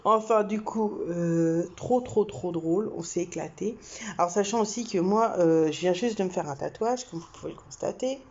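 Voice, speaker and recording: Young woman from France, low-ish warm voice, rambling chatty storytelling, dull lo-fi recording. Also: moderate pace. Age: young adult. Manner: chatty, many fillers and laughs, animated swings. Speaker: vlogger. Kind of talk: casual storytelling to camera.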